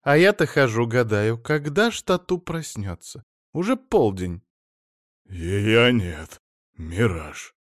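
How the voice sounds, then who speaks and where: A man voicing an excitable, flighty wife who chatters fast and breathlessly, recorded dry and close. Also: mid-range voice, no echo. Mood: chattering.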